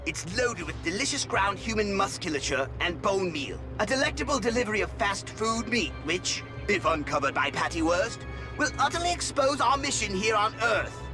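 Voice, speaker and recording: Scheming alien hologram boss, high-pitched, swooping, pompous, florid video game villain, music underneath. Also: male voice, sing-song, normal speed, rough recording. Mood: bossy, urgent. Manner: pompous, florid, theatrical diction. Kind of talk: animated video game voice acting.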